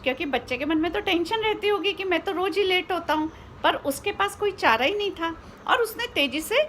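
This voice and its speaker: High-voiced Indian woman telling a story. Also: fairly bright tone, a little hiss or hum. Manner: explanatory, with rhetorical questions and English words mixed in. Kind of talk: informal spoken storytelling for children.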